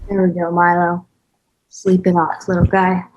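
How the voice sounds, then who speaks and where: An actress playing a soft-hearted, secretive woman in a screenplay table read. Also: fairly low pitch, completely flat delivery, moderate pace, highs cut off, lightly acted. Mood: tender. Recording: noisy, with clicking.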